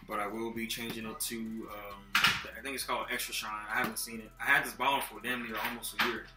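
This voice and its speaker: A man's voice: on the low side, level tone, fairly quick, reverberant, over loud music. Brief: young man rambling about car products. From Texas. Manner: rambling, filler-heavy, laid-back. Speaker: vlogger reviewing car care products. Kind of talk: casual product rundown. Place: dim apartment living room, indoors. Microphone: laptop built-in mic.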